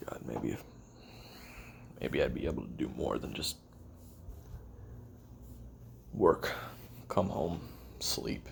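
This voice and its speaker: Deep creaky male voice, actor as jaded lonely hitman, halting confessional monologue, self-deprecating, faint background noise. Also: expressive, exaggerated voice. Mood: bitter, lonely. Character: weary, lonely, bitter male assassin recording tape memoirs. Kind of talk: confessional audio roleplay monologue.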